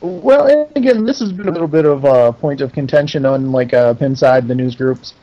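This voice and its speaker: A man with a slightly high voice talks as an interview guest over a muffled, lo-fi internet call. He explains things casually and in detail, pausing to think as he goes. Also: animated. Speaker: interview guest, pinball game maker.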